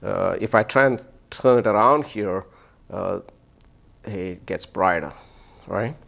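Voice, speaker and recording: A man with a fairly low voice lectures through a hands-on demonstration as a professor. He explains step by step, pausing to find words and tagging points with brief check-in questions. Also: gravelly, a bit bright. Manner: explanatory, hesitant pauses, checks in with "right?" and "okay?". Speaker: physics professor.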